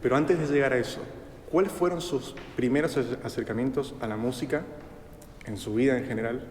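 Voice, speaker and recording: A man's voice: medium pitch, a little fast, a little mellow. A man interviewing a guest, asking long polite questions full of fillers. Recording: echoey room with hall reverb, faint noise.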